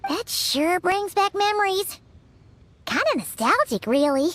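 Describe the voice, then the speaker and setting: A woman voicing a tiny, childlike fairy companion in a video game, excitable and cheeky, with a squeaky voice. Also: measured pace, exaggerated voice. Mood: nostalgic. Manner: exclamatory, expressive, refers to self by name.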